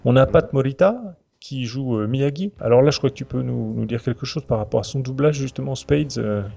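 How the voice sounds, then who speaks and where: Man, creaky voice, podcast host, hesitant delivery, muffled recording, background music. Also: mid-range voice, fairly quick, dark tone. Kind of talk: semi-prepared film discussion. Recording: dull lo-fi audio, music behind. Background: clear background music.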